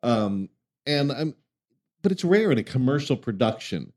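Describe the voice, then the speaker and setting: A man's voice: mid-range voice, big pitch swings, moderate pace, a little mellow. Male interviewer, conversational, laughs easily.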